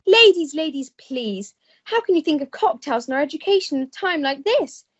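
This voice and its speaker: Very high-pitched gushing young heiress played by a woman. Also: big pitch swings, normal speed. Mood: indignant, self-important. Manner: posh, melodramatic. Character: self-centred, gushing young English heiress Penelope. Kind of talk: comic melodrama radio play. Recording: dull lo-fi recording.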